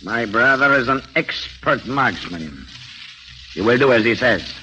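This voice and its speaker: An actor playing a gloating, menacing foreign killer in a 1940s radio drama, formal and deliberate in speech. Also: male voice, mid-range voice, expressive, pushed and bright. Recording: muffled old radio recording, faint noise.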